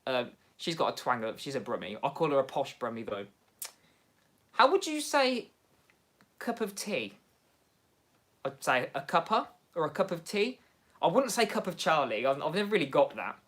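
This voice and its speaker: A man with an English Midlands accent hosting a casual livestream from home, chatting excitably and laughing often as he answers viewers. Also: on the high side, moderate pace, pauses often. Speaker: livestream host. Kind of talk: casual livestream chat reading viewer comments.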